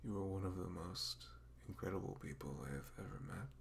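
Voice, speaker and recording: A man playing a dorky, smitten young best friend in an intimate ASMR roleplay, speaking in a halting, awkward, rambling way. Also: low voice, creaky, slight background noise. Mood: tender, vulnerable.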